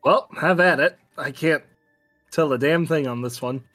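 A man with a somewhat high voice takes part in a tabletop game. He talks casually in character. The recording is dry, with faint music in the background. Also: normal speed, fairly bright tone, dry recording.

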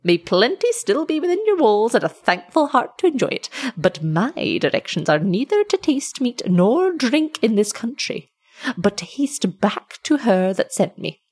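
A woman's voice: on the high side, big pitch swings, measured pace, darkish tone, breathy edge, voice pushed up, heavily acted. A woman voicing a small, solemn male dwarf messenger in a story, speaking formally with archaic courtesy. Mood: courteous, urgent.

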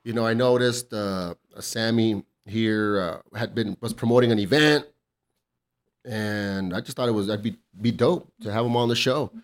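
A man's voice: on the low side, normal speed. A man hosting a laid-back podcast, chatting casually in slangy, sweary talk and often chiming in with agreement. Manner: encouraging, often interjects agreement. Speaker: podcast host.

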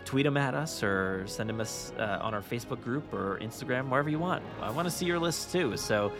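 A man hosting a podcast in a casual, rambling, halting way. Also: mid-range voice, animated, music in the background. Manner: halting, repetitive, rambling delivery. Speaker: podcast host.

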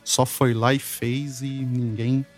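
A man's voice: mid-range voice, flat delivery, takes it slowly, faint music behind. A man co-hosting a casual podcast. He talks in an easygoing way and reacts with short interjections. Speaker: podcast co-host.

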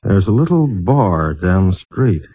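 Low, husky American investigator. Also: male voice, sing-song, unhurried, no room sound, exaggerated voice. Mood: matter-of-fact, probing. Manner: blunt, casual. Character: plain-spoken, breezy American male investigator. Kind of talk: 1950s radio drama acting. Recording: muffled, narrow-band vintage radio recording.

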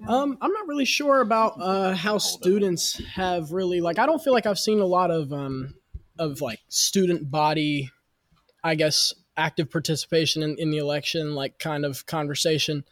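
A man's voice: on the high side, normal speed, fairly bright tone, dry recording, clean studio sound. A young man, a college student speaking tentatively and trailing off. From West Virginia. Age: young adult.

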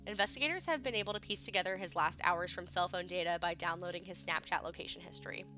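A young woman with a bright, clear voice, a little sniffly from a cold, telling a story in a loose, chatty way full of ums. Faint music plays softly behind her. She sounds like a relaxed podcast co-host talking from home. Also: on the low side, fast talker. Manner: chatty, laughs often, many ums. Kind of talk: casual true crime podcast chat. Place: at home, remote call.

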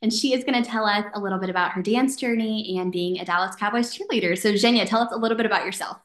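A woman hosting an interview show, upbeat and quick with her banter. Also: mid-range voice, roomy sound. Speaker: podcast host. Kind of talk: casual interview.

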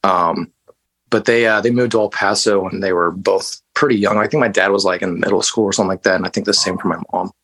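A low-voiced man from West Texas, talking as a guest in a casual interview. He tells his stories loosely and easily, full of "like" and "you know", with a bit of casual swearing. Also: fairly even intonation, fast, a bit bright, breathy edge. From West Texas, USA. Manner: laid-back, loose storytelling with fillers and mild swearing. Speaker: interview guest, band musician.

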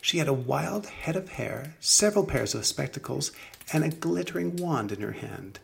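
A man reading a children's story as narrator, in an expressive, deliberate storytelling manner. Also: fairly high pitch, creaky. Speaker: storybook reader.

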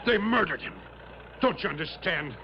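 An actor playing a distraught rustic miner in an old radio drama, halting and repeating himself in folksy, uneducated grammar. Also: male voice, sits high, expressive. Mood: anguished, accusing. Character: distraught rustic gold miner who found his partner murdered. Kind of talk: 1950s-60s radio drama acting. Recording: muffled old radio broadcast, faint noise.

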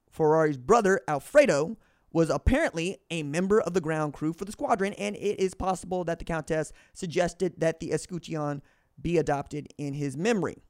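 A man with a fairly high voice reads aloud on his podcast and mixes in his own commentary. He trips over words and corrects himself as he goes. The recording is dry and close, with no room sound. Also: a little mellow, no room sound. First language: English. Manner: stumbles and corrects himself mid-sentence. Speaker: podcast host. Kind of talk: reading a book aloud with personal commentary.

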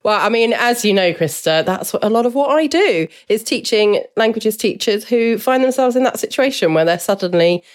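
A woman's voice: a little high, expressive, normal speed, hardly any pauses. A woman hosting a podcast who trains language teachers, asking questions in an encouraging, conversational way. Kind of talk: casual interview chat.

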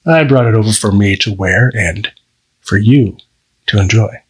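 A man voicing a sweet, confident, flirtatious man on a date in an audio story, with a husky voice and gentle, mischievous manner. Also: on the low side, unhurried, voice pushed down, low-quality recording. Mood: mischievously flirty.

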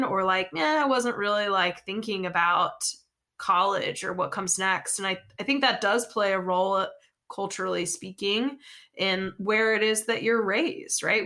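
A woman's voice: mid-range voice, sharp tone. A woman hosting an interview who affirms her guest and asks thoughtful follow-up questions. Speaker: host.

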